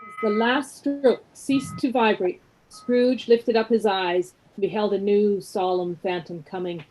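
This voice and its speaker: A woman narrating a radio play as a measured storyteller, reading scripted literary prose. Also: mid-range voice, warm tone, natural voice, rough recording.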